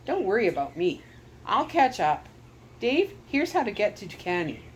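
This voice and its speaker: A man's voice: high voice, huge pitch swings, moderate pace, a little hiss or hum. A woman doing a worried missionary man. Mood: urgent. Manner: urgent, warning. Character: anxious island missionary man.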